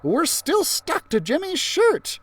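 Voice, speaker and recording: Anxious storybook schoolkid, male, high and animated, drawn-out exclaiming complaints; adult male reader acting it. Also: dry recording, exaggerated voice. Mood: alarmed. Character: worried, whiny schoolboy, easily alarmed.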